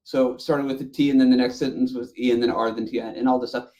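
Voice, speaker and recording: A man's voice: medium pitch, fairly even intonation, fast, warm tone, muffled recording. A man around his forties co-hosting a casual group podcast chat, telling stories in a joking, sweary way. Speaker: podcast co-host. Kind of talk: casual group chat about movies and games.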